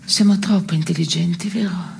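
An actress playing a bitter, irritable woman in an absurdist radio theatre dialogue, speaking in a terse, deadpan way. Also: on the low side, moderate pace, creaky, slight background noise.

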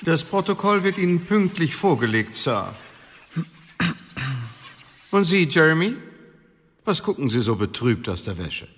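An actor playing a stern Elizabethan tribunal official in a German radio drama, formal and officious with a needling edge. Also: male voice, on the high side, very animated, a little slow, pauses often, fairly bright tone, exaggerated voice. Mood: sardonic. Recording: long reverberant hall.

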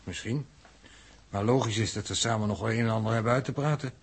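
A man's voice: fairly low pitch, fast talker, slightly rough. An actor playing a stiff, logical, reserved crewman in a 1960s radio drama, with dry, formal reasoning. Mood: dry, composed.

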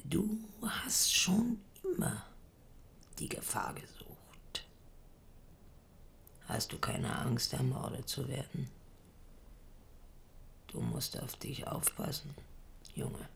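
An actress playing an old, bent and bitter queen mother in a radio drama, with a gravelly, often whispered voice. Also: extremely low pitch, huge pitch swings, slow, pauses often, heavily acted. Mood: warning. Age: older. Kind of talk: 1970s radio drama acting.

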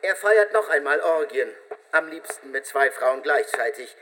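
A man's voice: on the high side, expressive, normal speed, a bit bright. A man giving dramatic scripted radio narration with emphatic, theatrical phrasing, in a reverberant hall sound.

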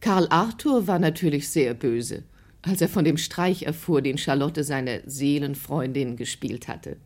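A woman's voice: low voice, unhurried, darkish tone. A woman reading scripted literary narration as the narrator of an audio drama.

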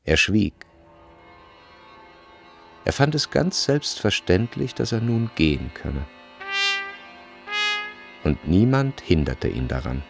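Gravelly, expressive literary narrator. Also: male voice, deep voice, takes it slowly, long pauses, music in the background, full character voice. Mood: measured. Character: measured, literary male narrator of a novel. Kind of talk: scripted literary narration in a radio play.

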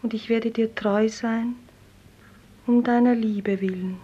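An actress playing a drowned young beloved, tender and humble, in a solemn 1950s German radio play, half-whispering. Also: female voice, fairly high pitch, steady tone, warm tone, slightly rough. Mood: sorrowful, devoted. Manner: solemn, poetic, slow. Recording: dull 1950s radio recording.

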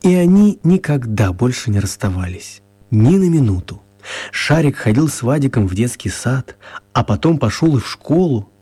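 A male narrator for a children's radio play, his voice a little husky and mid-pitched. He tells the story in a measured, expressive manner with gentle humour.

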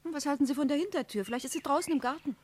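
A woman's voice: high-pitched, rapid-fire, a little mellow, close to an everyday voice. An actress playing a detective's inquisitive, practical female companion in a 1960s German radio crime drama. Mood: searching, speculating. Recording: roomy 1960s radio sound, background noise.

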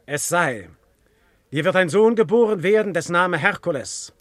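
An actor playing a majestic, lofty god in a classical verse radio drama, declaiming solemnly. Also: male voice, high-pitched, expressive, normal speed, no echo, studio quality. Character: commanding god Zeus in a husband's likeness, majestic, lofty.

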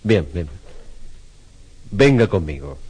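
An actor in a radio drama playing a hesitant, excitable sculptor, formal and halting in manner. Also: male voice, sits low, husky, full character voice. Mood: resigned. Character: hesitant, sceptical male sculptor, excitable when inspired.

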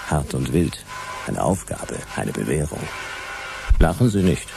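An actor playing a grumpy, nostalgic private detective of the future in a German radio play, wry and laconic. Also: male voice, rumbling low, big pitch swings, measured pace, creaky, exaggerated voice. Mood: stubborn, sardonic. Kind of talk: German radio play, first-person noir narration. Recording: loud music behind the voice.

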